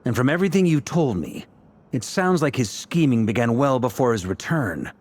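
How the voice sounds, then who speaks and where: Lively, assured male leader, acted by a man. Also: mid-range voice, natural voice. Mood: thoughtful. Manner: measured, reassuring, deliberate. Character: confident, laid-back adult male military leader planning strategy. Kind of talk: scripted game dialogue.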